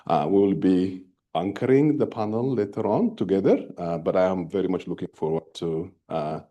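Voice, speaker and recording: A man's voice: deep voice, dull audio. A man, a workshop organizer, introduces the next speaker in a lecture room, hesitating often with fillers and restarts. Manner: halting, with many fillers and restarts.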